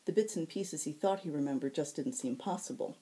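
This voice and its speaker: A woman, an author reading her fiction aloud in an expressive narrating style. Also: low voice, brisk, low-quality recording.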